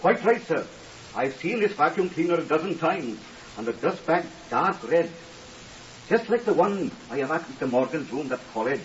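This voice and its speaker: An actor playing an earnest, stiffly formal young Welsh scholar in a 1950s radio drama, polite and deferential with singsong phrasing. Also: male voice, on the high side, big pitch swings, moderate pace, far from the mic. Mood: puzzled, agitated. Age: young adult. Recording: muffled hissy old radio recording, off mic.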